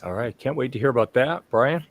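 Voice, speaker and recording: A man's voice: mid-range voice, a little fast. A man hosting a casual live-stream panel, chatty and joking, with plenty of fillers, on a video call mic. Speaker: host and show sponsor.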